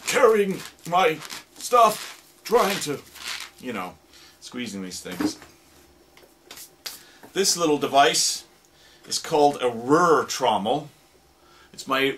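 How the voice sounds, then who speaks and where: A man who produces radio drama, demonstrating sound effect gadgets in a relaxed, explanatory way with plenty of fillers. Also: mid-range voice, slight background noise.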